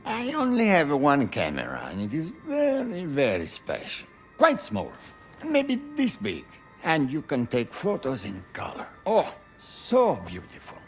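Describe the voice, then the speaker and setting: Theatrical Italian hustler, wildly animated intonation, coy, haggling, video game, music behind. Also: male voice, on the high side, full character voice. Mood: coy, wheedling. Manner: theatrical, coy, drawn-out salesmanship. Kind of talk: cinematic game voice acting.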